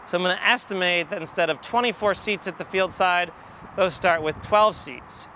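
A man's voice: high voice, pushed and bright. A man in his forties with an American accent, teaching step by step and thinking aloud as he explains. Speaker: math educator. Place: outdoors in an empty football stadium. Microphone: lapel. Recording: outdoor ambient noise, distant traffic.

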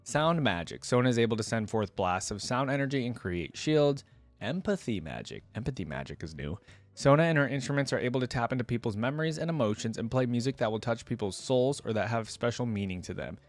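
A man's voice: medium pitch, expressive, a little fast, faint music behind. A man in his twenties giving casual reaction commentary, musing aloud as he reads web text at a close desk microphone. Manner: musing, thinks aloud between read passages. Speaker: reaction video host. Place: indoor room at a gaming desk. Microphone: desk microphone close in front, headset on.

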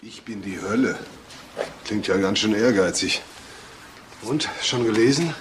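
A man's voice: on the low side, measured pace, a little hiss or hum, natural voice. A man playing a brusque, commanding lead police investigator in a crime drama. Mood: inquiring. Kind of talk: German TV crime drama acting.

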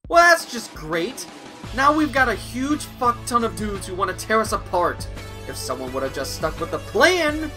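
An exasperated young man, played by an actor, serious but sarcastic, in a cartoon fan drama. His mid-range voice leaps dramatically in pitch, with music clearly underneath. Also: sing-song, moderate pace, pushed and bright, full character voice. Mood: frustrated. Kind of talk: animated fan audio drama acting. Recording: music behind the voice.

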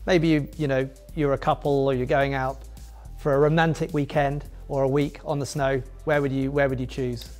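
A man's voice: medium pitch, flat delivery, normal speed, faint music behind. A middle-aged man hosting an interview, friendly and chatty, asking leading questions. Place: indoor studio set with wooden walls.

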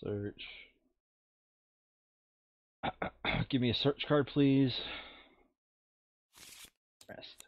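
A man's voice: medium pitch, extremely slow, long pauses, no room sound. A man in a casual board game session, reading out moves and explaining rules in an instructive, insistent way. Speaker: tabletop game player reading out enemy moves. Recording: dull, lo-fi microphone sound.

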